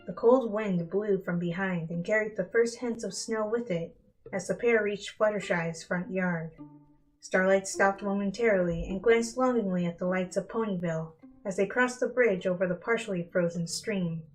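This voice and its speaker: Female storybook narrator, mid-pitched, dramatic reading style, soft instrumental music underneath. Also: moderate pace, low-quality recording. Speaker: fan fiction reader. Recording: music bed behind the voice.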